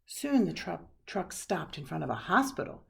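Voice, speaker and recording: A woman reading a children's picture book aloud expressively, with dramatic pauses and hushed asides. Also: deep voice, big pitch swings, creaky, slightly rough. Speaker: storybook reader.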